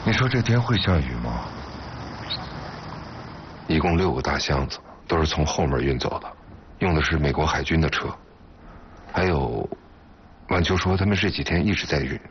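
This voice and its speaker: An actor playing a guarded, exasperated undercover intelligence officer in a naturalistic TV drama. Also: male voice, extremely low pitch, expressive, moderate pace, long pauses, highs cut off, a little hiss or hum. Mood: measured, dutiful.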